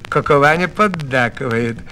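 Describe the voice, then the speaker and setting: A man's voice: medium pitch, normal speed, sharp tone, a little hiss or hum, poor audio. A man narrating a folk tale expressively, in a folksy, theatrical storyteller's way.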